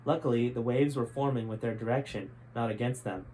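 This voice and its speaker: A man's voice: mid-range voice, a little fast, warm tone. A man narrating a story in an enthusiastic, dramatic storyteller style as he hosts a reading of his book. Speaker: host reading a chapter of their own book. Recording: clearly noisy background ambience.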